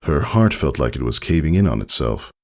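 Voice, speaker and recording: A man reading fan fiction as a narrator, with expressive, deliberate, dramatic delivery. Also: extremely low pitch.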